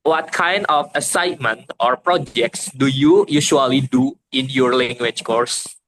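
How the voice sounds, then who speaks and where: A man interviewing a fellow student over a video call, asking questions haltingly and searching for words. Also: medium pitch, a bit bright, rough recording. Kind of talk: casual interview chat.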